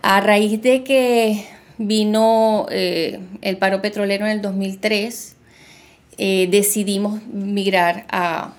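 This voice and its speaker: A woman co-hosting a podcast, telling her story in a relaxed, halting way with fillers. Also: mid-range voice. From Argentina, raised in Venezuela. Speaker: podcast co-host, realtor. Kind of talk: casual podcast conversation.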